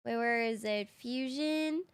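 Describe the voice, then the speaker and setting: A woman game streamer chatting casually with her viewers while she plays, slightly raspy from just waking up, easygoing and quick to laugh. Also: on the high side. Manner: chatty and easygoing, laughs often, thinks aloud. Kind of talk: casual live-stream chat, answering viewers.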